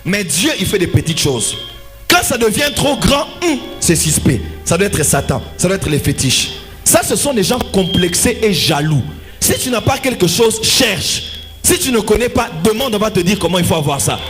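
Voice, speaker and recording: Male revival preacher, very animated and emphatic, repetitive short phrases, music underneath. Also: high-pitched, normal speed. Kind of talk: fervent revival sermon in short phrases for an interpreter. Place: live church service.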